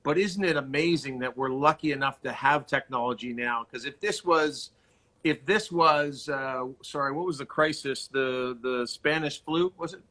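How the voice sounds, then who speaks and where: A man's voice: medium pitch, moderate pace, sharp tone. A man hosting a conversational interview, earnest and wordy as he circles his way into questions. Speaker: interview host. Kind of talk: conversational video-call interview.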